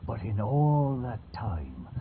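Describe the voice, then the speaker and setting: A man's voice: fairly low pitch, big pitch swings, takes it slowly, a little hiss or hum. A man playing a jovial old talking boat with salty seafarer's phrasing in a video game. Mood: reminiscing. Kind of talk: video game character acting. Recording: tinny game capture, faint music.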